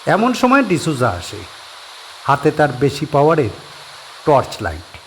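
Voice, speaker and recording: A male narrator reading a scripted horror story in a steady, descriptive way. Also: a little high, unhurried, slight background noise, low-quality recording.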